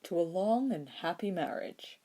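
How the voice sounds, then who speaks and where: A woman's voice: lowish voice, expressive, unhurried, warm tone, rough recording. A woman voicing a wry, composed, tender man in an acted fan fiction reading. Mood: casual, content.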